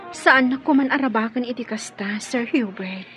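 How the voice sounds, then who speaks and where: A woman's voice: a little high, normal speed, a bit bright, faint music behind, natural voice. An actress with an Ilocano accent playing a modest, guarded young servant girl in a radio drama. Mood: timid, pleading.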